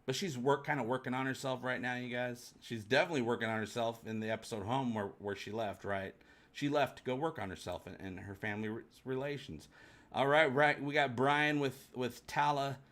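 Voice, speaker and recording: A man's voice: medium pitch, fairly even intonation, a little fast, fairly bright tone. A man hosting a fan livestream, chatting casually and enthusiastically with his viewers.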